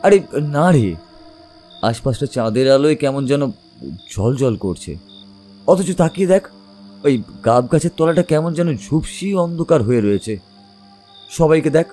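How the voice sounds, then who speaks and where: A man performs an older village uncle telling an eerie tale of his youth in an audio drama. His mid-pitched voice swings widely and expressively. The tone is dark and mellow, with suspense in the telling. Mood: uneasy. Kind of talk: dramatized horror narration.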